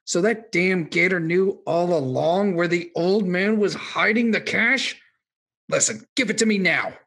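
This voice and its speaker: An actor playing a greedy, menacing swamp villain with a raspy voice in a radio play. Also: medium pitch, a little slow, fairly bright tone.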